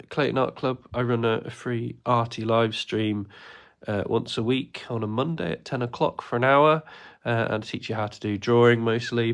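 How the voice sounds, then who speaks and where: Snuffly man with a gravelly voice. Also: medium pitch. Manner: rambling, many ums and uhs. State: stuffy with a cold. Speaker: art teacher running a livestream class. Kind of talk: casual livestream drawing lesson.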